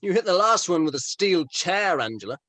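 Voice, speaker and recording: A man playing a mild, diplomatic male band member at a table read, polite and measured. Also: high voice, big pitch swings, bright, forceful tone, no echo, heavily acted. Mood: reproachful. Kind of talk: informal script table read.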